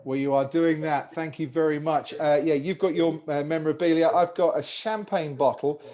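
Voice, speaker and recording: Casting: male host, fairly high voice, breezy jokey patter, video call mic. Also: steady tone, moderate pace, a little mellow. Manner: jokey, upbeat broadcaster patter. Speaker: podcast host. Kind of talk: lively hosting chat with remote guests.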